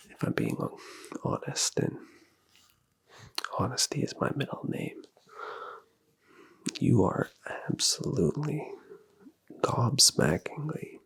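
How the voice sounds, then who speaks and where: An ASMR performer speaking in a breathy whisper, soothing and flattering in a personal-attention roleplay. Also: medium pitch. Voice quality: breathy, mostly unvoiced whisper.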